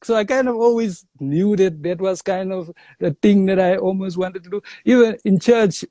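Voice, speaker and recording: A young South African comedian in casual interview chat, telling stories in a lively way and saying "bruh". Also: male voice, sits high, moderate pace, no room sound. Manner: animated storyteller, says "bruh". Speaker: comedian guest.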